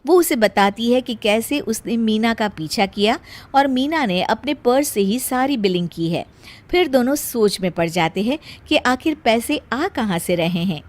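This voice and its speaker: Female storyteller, high voice, scripted story narration. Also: lively intonation, measured pace.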